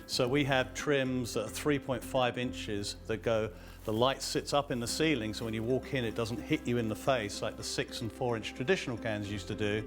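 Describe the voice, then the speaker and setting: A male lighting designer explains his products as an interview guest. His voice has a medium pitch, and music plays clearly behind him throughout. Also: moderate pace. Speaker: lighting designer and business owner.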